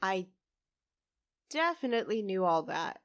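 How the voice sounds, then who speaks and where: Casting: actress for a secretive, easily flustered young half-fae woman in a fantasy audio drama; slow, halting, dry studio sound. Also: medium pitch, very animated, no room sound. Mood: flustered, evasive.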